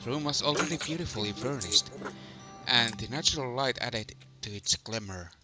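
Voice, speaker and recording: A man reading scripted lines as the solemn first-person narrator of a fantasy game, in a measured way. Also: mid-range voice, animated, pushed and bright, soft background music.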